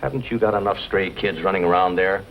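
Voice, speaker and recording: An actor playing a stern, skeptical small-town sheriff in a 1940s western style, speaking with gruff authority. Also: male voice, sits low, fast talker. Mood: reluctant, skeptical. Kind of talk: 1940s western film acting. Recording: muffled, hissy old western soundtrack.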